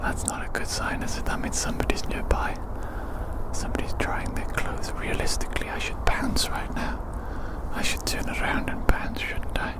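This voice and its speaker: ASMR creator whispering softly. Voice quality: breathy, unvoiced whisper. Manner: slow, soothing, repeats phrases softly. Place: outdoors in winter woods and hillside field.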